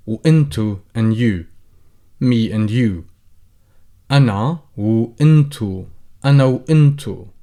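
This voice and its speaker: A man who runs a language channel. He teaches beginners, reading each phrase aloud, translating it and explaining it slowly. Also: lowish voice, darkish tone. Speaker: Arabic teacher. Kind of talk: slow explanatory tutorial.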